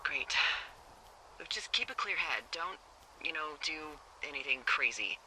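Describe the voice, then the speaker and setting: An actress playing a wry, uneasy American fire lookout in a video game, speaking hesitantly and conversationally through a walkie-talkie filter. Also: female voice, low-pitched, sing-song, measured pace, bright, forceful tone, a little hiss or hum, heavily acted, rough recording. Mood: dry, wry. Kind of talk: naturalistic video game voice acting.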